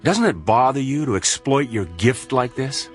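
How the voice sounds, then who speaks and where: A man's voice: mid-range voice, animated, moderate pace, soft background music, lightly acted. An actor playing an earnest male medical colleague with a probing, moralising, sardonic manner in a radio drama. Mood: sceptical, sardonic.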